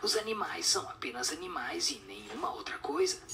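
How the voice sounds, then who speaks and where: A man voicing a solemn spirit who answers questions in a breathy, whispery, didactic way. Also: high voice, sing-song, over loud music, exaggerated voice. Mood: solemn, instructive. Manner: didactic, measured explanations.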